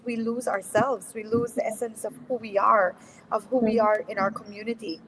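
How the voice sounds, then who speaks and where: A woman's voice: fairly high pitch, long reverb tail, a little hiss or hum, rough recording. A woman, an educator on a panel, speaking passionately and repeating points for emphasis. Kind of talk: panel discussion.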